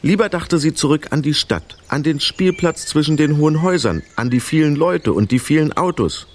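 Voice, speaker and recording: A man's voice: a little high, lively intonation, moderate pace, a little hiss or hum. A man narrating a children's radio play as a scripted storyteller.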